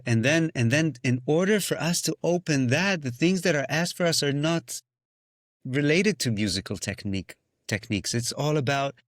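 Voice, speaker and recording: A man's voice: mid-range voice, dry recording, clean studio sound. A man whose first language is Hebrew, a musician and singing-circle facilitator, talking thoughtfully in a podcast conversation, often restarting phrases and slipping into sung sounds.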